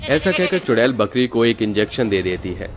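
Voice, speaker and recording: A man's voice: medium pitch, big pitch swings, normal speed, music in the background. A man narrating a scripted cartoon story as the storyteller.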